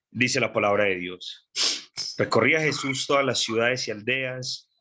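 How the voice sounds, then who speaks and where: Slow congested preacher man. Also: mid-range voice, pushed and bright. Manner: slow, deliberate preaching with repeated phrases and throat clearing. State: congested with a cold. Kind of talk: sermon.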